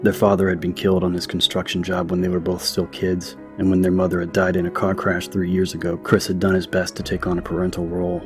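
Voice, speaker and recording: A man's voice: low-pitched, completely flat delivery, fast, few pauses, over music. A man narrating an audiobook from a script in a dramatic story style.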